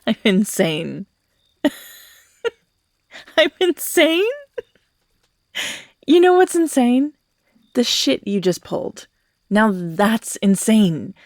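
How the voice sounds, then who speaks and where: A woman's voice: a little high, very animated, very slow, pauses often, dry recording, clean studio sound, exaggerated voice. A woman playing an obsessive, manipulative young kidnapper in a dramatic audio roleplay, theatrical, with sighs, whispers and outbursts. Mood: exasperated, manic. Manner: theatrical, swinging between whispers and outbursts, sighing.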